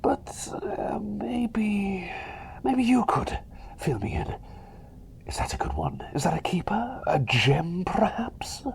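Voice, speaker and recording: A man's voice: high-pitched, very animated, takes it slowly, a little hiss or hum, full character voice. Whispery, swooping, eccentric plague doctor, played by a man. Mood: hopeful, playful. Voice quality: breathy whisper mixed with soft voice. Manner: hesitant, rambling, repeats phrases, eager for approval. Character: cheery, eccentric, self-doubting male plague doctor. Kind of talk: ASMR roleplay, whispered personal attention.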